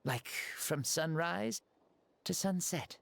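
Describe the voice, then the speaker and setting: A woman's voice: on the low side, slow, vocal fry, dry recording. A woman voicing a carefree, cheeky ancient male warrior god inhabiting a boy's body, theatrical video game acting. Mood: jaunty, casual. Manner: jaunty, theatrical storytelling.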